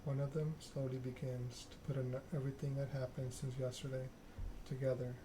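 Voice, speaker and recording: Male ASMR game streamer, flat and soothing read, clear background noise. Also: mid-range voice, brisk. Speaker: streamer reading a narrative game aloud. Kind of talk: ASMR narration of game text.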